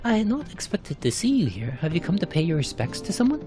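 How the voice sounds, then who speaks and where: A man's voice: high voice, huge pitch swings, quick pace. A man voicing a guarded, anxious young woman in a fantasy game, speaking formally and warily. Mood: wary, anxious. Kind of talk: amateur narrated fantasy game cutscenes. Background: music.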